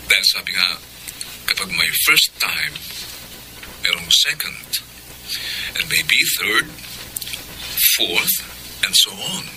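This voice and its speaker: An actor playing a smooth, persistent, flirtatious Filipino man in a radio drama. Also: on the low side, big pitch swings, slow pace, long pauses, pushed and bright, a little hiss or hum, heavily acted, rough recording. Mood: suave, flirty.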